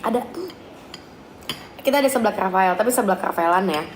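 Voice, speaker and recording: A woman's voice: mid-range voice, a little fast. A young woman telling stories and giggling in casual chat while she eats. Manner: chatty, giggly storyteller. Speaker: live stream co-host. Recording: echoey room with faint noise. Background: clinking cutlery and dishes.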